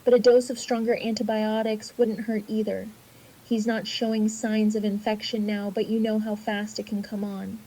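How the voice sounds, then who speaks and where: Woman playing a mellow, earnest young medic. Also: medium pitch, level tone, moderate pace, natural voice, rough recording. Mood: earnest, concerned. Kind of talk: fan audio drama acting.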